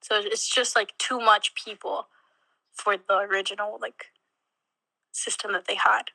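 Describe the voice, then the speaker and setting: A woman's voice: mid-range voice, level tone, measured pace, vocal fry, pushed and bright, no room sound, rough recording. A young woman from Mexico, a college student chatting casually in an interview, with lots of fillers and little laughs. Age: young adult. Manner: chatty, many fillers, laughs between words.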